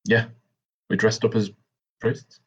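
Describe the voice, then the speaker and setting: A man's voice: on the low side, level tone, takes it slowly, husky. A man improvising in-character dialogue in a tabletop game, speaking insistently and repeating himself. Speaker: tabletop roleplay player. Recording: dull, lo-fi recording.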